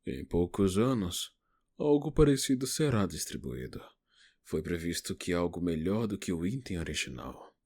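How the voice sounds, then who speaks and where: A man's voice: low voice, very animated, moderate pace, darkish tone, husky, heavily acted. A man with a Brazilian accent acting a reluctant, formal older negotiator in an audio novel. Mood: resigned, explaining. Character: reluctant, cornered older male negotiator, exasperated.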